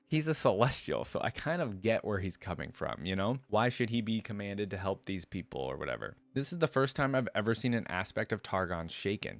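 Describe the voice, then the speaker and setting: A man with a fairly low voice hosts a reaction video, reading lore aloud and dropping in casual, slangy comments. His delivery is expressive and conversational, and the recording is very dry and close, with no room sound. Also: no room sound.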